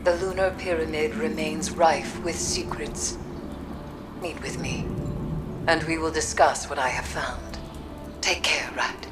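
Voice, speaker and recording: An actress playing a solemn, haunted mystic in a video game, speaking in a grave, formal and measured way. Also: female voice, low voice, steady tone, music in the background. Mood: grave, reflective. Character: solemn, haunted mystic woman, weary and cryptic. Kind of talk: video game cutscene monologue. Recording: clear background ambient noise.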